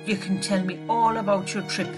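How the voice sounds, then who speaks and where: An actress playing a tender, steady middle-aged mother in an audio drama. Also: mid-range voice, moderate pace, music in the background, natural voice. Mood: tender, sorrowful.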